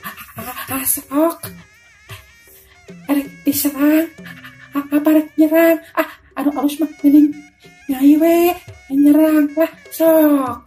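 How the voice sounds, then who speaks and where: A woman voicing a big, brash male fighter in a Sundanese folk tale, with drawn-out cocky taunts and stammered interjections. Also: high-pitched, fairly even intonation, warm tone, faint music behind, voice pushed up. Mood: taunting.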